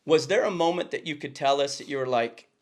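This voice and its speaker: A man's voice: on the high side, fast talker. A man hosting a casual interview, asking questions with enthusiasm and complimenting his guest. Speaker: podcast host.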